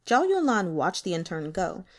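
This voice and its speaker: A woman narrating a story as an audiobook reader, in an expressive scripted style. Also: lowish voice, normal speed.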